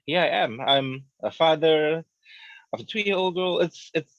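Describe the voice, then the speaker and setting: A man's voice: a little high, a little slow, dry recording, lo-fi sound. Laid-back man from Zimbabwe, dry close sound. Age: 30s. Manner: relaxed, chatty, laughs easily, repeats words. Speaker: author and guest. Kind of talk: casual radio interview.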